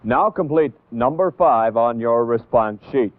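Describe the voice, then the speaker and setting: American guy teaching in an old 60s training film, deliberate and didactic, thin phone-like sound, very dry. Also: medium pitch, animated, dry recording. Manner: deliberate, didactic, emphatic on key points. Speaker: instructor narrating a military training film. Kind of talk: 1960s scripted instructional narration. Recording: tinny, band-limited 1960s training film audio.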